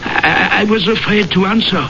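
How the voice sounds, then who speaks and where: A man's voice: high-pitched, normal speed, vocal fry, pushed and bright, heavily acted. An actor playing a nervous, superstitious village milkman who hesitates and stammers deferentially in a radio drama. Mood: nervous, sheepish. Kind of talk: 1970s radio drama acting. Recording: dull, noisy old radio broadcast.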